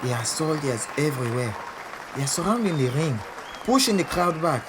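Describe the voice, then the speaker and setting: Proud boxer man under loud crowd noise. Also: fairly high pitch, sing-song, normal speed. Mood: watchful, proud. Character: proud, defiant world champion boxer from Senegal, 1920s. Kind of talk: first-person audio drama narration. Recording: loud crowd roar, heavy background noise. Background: cheering fight crowd and ambience.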